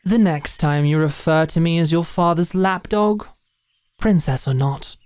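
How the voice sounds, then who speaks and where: A woman acts as a stern lady knight with a low voice in a very dry, close recording. She is proud and brusque, teasing like a demanding sparring mentor in a fantasy audio drama. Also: a little slow, a little mellow, no echo. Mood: stern, grudging. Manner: commanding, dry, taunting.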